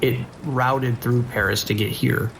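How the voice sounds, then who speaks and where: Gravelly, measured American night dispatcher acted by a man. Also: medium pitch, steady tone. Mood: concerned, measured. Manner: measured, procedural, hesitant pauses. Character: steady, weary male island sheriff's dispatcher on night shift. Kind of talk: naturalistic audio drama acting. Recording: faint noise, rain behind.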